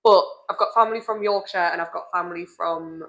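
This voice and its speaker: A woman's voice: fairly low pitch, fast talker. A young woman with a North East English accent co-hosting a casual chat, joking and laughing often. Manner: lively, laughing, jokey. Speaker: podcast co-host, salon owner.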